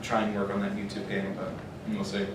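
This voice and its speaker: A man's voice: fairly low pitch, monotone, fairly quick. A man speaking casually and a bit self-deprecatingly as a voice actor on a convention panel. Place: convention panel room, recorded from afar. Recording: echoey noisy room, distant microphone.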